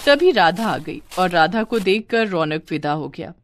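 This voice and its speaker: A woman's voice: lowish voice, very animated, a little slow. A woman reading a scripted moral bedtime story as a storyteller.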